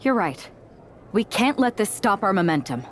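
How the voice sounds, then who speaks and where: A woman's voice: medium pitch, big pitch swings, normal speed, lightly acted. A woman playing a spirited, supportive wrestler in a video game cutscene. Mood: upbeat, questioning. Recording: faint background noise and ambience.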